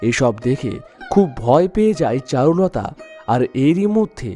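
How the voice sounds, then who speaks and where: A man's voice: on the high side, big pitch swings, moderate pace, dark tone, faint music behind. A man narrating a scripted story in a dramatic storytelling style.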